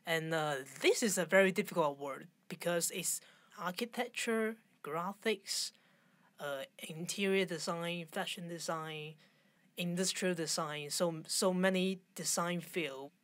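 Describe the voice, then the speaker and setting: Low-pitched Hong Kong woman in interview. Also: no echo. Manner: halting non-native English phrasing. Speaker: interview guest, fashion designer. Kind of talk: conversational interview.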